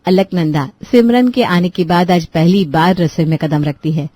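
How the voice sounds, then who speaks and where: A woman's voice: lowish voice, moderate pace, mellow, no room sound. A woman reading scripted narration for a children's moral story.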